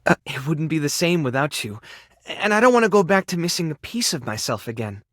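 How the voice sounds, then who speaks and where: A male actor in a dating video game playing an earnest, tender, awkward keeper of an animal rescue, sincere and prone to halting rambles. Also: fairly high pitch, sing-song, a bit bright, dry recording, studio quality. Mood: hopeful, shy. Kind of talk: video game character voice acting, dating simulator.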